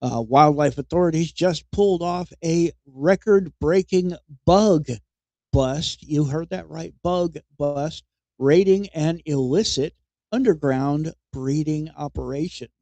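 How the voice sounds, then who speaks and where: A man hosting a podcast, giving mocking news commentary in a halting way and chuckling at his own jokes. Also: on the high side, warm tone, no room sound. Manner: halting, repeats words as he goes. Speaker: podcast host.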